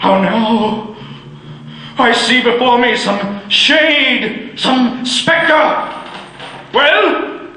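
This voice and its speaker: An actor playing a miserly, grumbling old moneylender in an old-time radio play, with a gruff, scolding way of talking. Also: male voice, very high voice, lively intonation, extremely slow, long pauses, sharp tone, heavily acted. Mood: uneasy. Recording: echoey hall with faint hiss.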